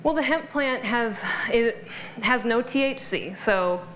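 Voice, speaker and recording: A woman's voice: fairly high pitch, lively intonation, slow pace, fairly bright tone, slight background noise, low-quality recording. A woman guest in an interview, explaining wellness topics in an informative, list-making way. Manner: informative, explanatory, lists benefits. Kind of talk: conversational interview.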